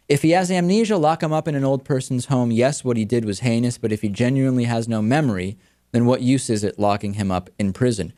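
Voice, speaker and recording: A man's voice: medium pitch, a little mellow. An American man hosting a talk show, giving conversational commentary and reading out audience mail.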